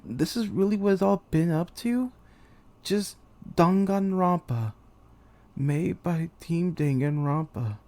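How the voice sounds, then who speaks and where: A man performs a young military commander sunk in despair and betrayal. His voice sits a little high for a male, with a soft, dark tone and plenty of fry. He speaks in a theatrical, halting way, as in a dramatic anime game monologue. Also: no echo. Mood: incredulous. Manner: theatrical, halting, anguished delivery.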